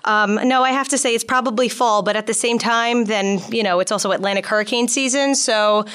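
Fast-talking woman co-hosting a podcast. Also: on the high side, a bit bright, clear, resonant tone. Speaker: podcast co-host and communications director.

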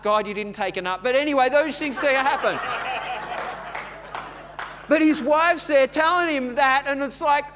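A man preaching a sermon in a conversational, storytelling way, repeating words as he goes and building from quiet chat to louder preaching. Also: very high-pitched. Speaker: pastor.

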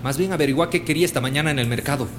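In a reverberant hall with faint noise, a foul-mouthed Bolivian butcher boss acted by a man holds forth in a radio drama. His voice sits a little high, and he orders people around irritably while posing as a serious businessman. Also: very fast, natural voice. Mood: irritated, cursing. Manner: bossy, irritable, cursing.

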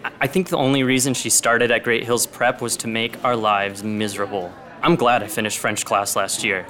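A man's voice: mid-range voice, steady tone, normal speed, pushed and bright, close to an everyday voice. Understated, guarded teenage boy played by a man. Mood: casual. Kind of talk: radio drama dialogue.

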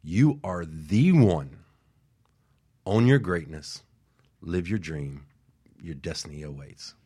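A man's voice: sits low, animated, slow, warm tone, slightly rough. A male co-host delivering an emphatic motivational speech with a preaching cadence.

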